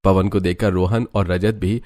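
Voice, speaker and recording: Male narrator with a low voice for an animated village tale. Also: normal speed, soft background music. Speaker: story narrator. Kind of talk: scripted Hindi cartoon story narration.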